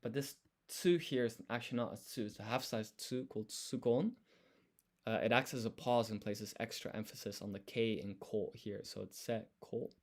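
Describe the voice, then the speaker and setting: Casting: male study streamer, mid-pitched voice, slow and thoughtful, casual chat, sounds words out piece by piece. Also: a little mellow. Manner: thinking aloud, sounding out words syllable by syllable. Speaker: live streamer studying Japanese.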